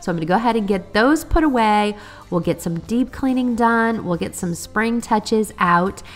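A woman's voice: mid-range voice, lively intonation, moderate pace, soft background music. A woman in her thirties narrating her cleaning vlog in a friendly, upbeat way while getting over a cold. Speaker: cleaning vlogger.

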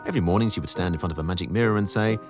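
A man narrating a comic fairy tale as a scripted, high-speed storybook reading. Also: racing. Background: loud music.

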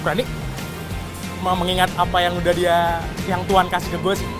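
A man's voice: high-pitched, monotone, brisk. A man in his twenties answering street interview questions in casual slang. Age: 20s. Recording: outdoor street interview with music underneath.

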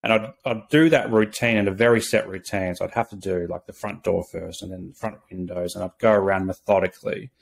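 A man's voice: deep voice, steady tone, brisk, vocal fry, few pauses. An Australian man in his thirties, a social worker, earnestly telling his own story on a video call with many restarts and fillers. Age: 30s. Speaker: podcast guest, social worker. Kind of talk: casual interview, personal story. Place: small home office. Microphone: video call webcam.

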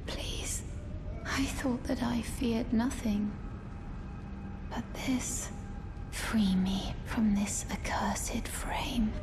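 An actress voicing a gentle, troubled young woman, the daughter of a manor lady, speaking in a slow, soft, breathy whisper. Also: on the high side. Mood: sorrowful, pleading. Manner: slow, tender, sorrowful delivery. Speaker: game character. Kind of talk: video game cutscene acting. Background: game music.

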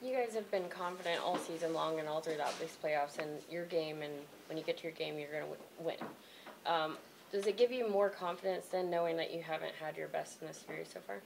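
A woman's voice: low voice, a little fast, gravelly, background noise. A woman reporter asking a player questions in a locker room scrum. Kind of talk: press question.

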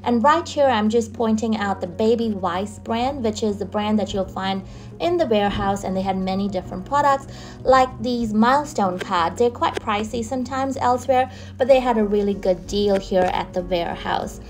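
A woman's voice: mid-range voice, normal speed, rough recording. A young adult woman narrating a casual shopping tour as a vlogger, chatty and upbeat as she points out products and prices. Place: in a car on the motorway.

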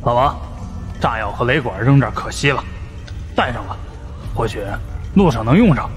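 A man's voice: medium pitch, expressive, normal speed, faint music behind, pitched above the performer's own voice, close to an everyday voice. A man voicing an eager, deferential underling who calls out in an audiobook thriller, with long reverb. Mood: suggesting.

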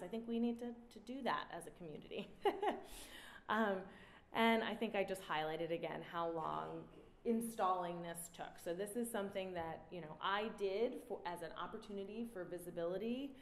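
A woman in her thirties giving an artist talk at a standing mic, relaxed and reflective, pausing often with "um". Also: lowish voice. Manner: relaxed, reflective, many "um" pauses. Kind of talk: presenting her artwork with slides. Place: indoor gallery room before an audience. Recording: roomy gallery sound, faint noise.

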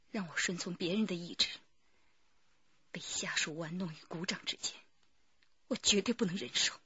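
An actress playing a proud, strong-willed murder mastermind in a radio drama, confessing in a breathy, intense half-whisper. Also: female voice, on the low side, bright, forceful tone, no room sound, dull audio, exaggerated voice. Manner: intense, defiant.

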